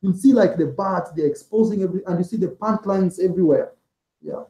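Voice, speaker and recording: Male interview guest, casual and rambling, filler-heavy, mellow tone, echoey room. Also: sits high, a little fast. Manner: rambling, heavy use of "like" and "man". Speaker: interview guest, travel vlogger.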